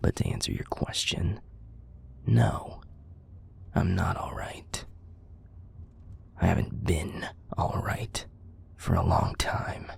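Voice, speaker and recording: A man playing a bitter, menacing werewolf pack leader in an audio roleplay, profane and commanding in a breathy growl. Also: low-pitched, animated, slow pace, long pauses, in a whisper, full character voice. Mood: weary, cold contempt. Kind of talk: audio roleplay drama acting.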